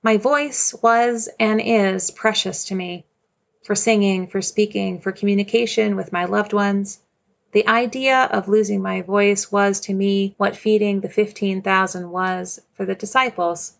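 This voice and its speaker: A woman recounts a personal story in a measured, reflective voice at a middling pitch. A gravelly, creaky texture runs through her speech, and she keeps coming back to the same quiet refrain. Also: steady tone.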